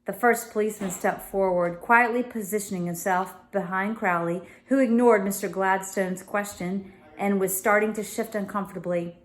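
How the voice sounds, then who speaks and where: A woman's voice: mid-range voice, steady tone, moderate pace. A woman reading a children's novel aloud as a storyteller, with casual explanatory asides.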